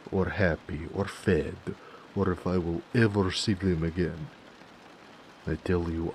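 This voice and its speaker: Man voicing a deep, gravelly orc blacksmith lover. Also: darkish tone, slight background noise, low-quality recording. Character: devoted male orc blacksmith, tender, possessive, stubborn lover. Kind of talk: intimate romantic audio roleplay.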